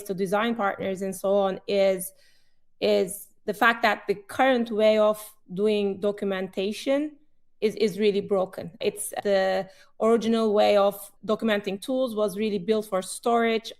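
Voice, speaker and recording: A woman in her forties from Turkey with a Turkish accent, speaking as a panelist on a business webinar in a measured, explanatory way. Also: medium pitch. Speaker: webinar panelist, company CEO.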